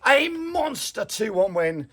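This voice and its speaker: A man losing his voice, giving an excitable, rambling reaction to a football match with many fillers and restarts. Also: high-pitched, slow pace, breathy edge. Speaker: football fan vlogger. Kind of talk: casual match reaction to camera.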